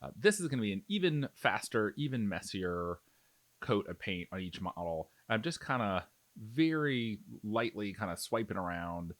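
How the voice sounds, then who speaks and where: A man's voice: fairly low pitch, big pitch swings, normal speed, no room sound. A man giving a casual, explanatory step-by-step tutorial voice-over in an informal way. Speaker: miniature painting tutorial host.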